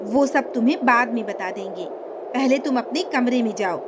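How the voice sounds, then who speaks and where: Woman playing a firm, calm cartoon mother. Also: a little high, normal speed, background noise, natural voice. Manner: firm, instructive. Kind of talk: cartoon moral story acting.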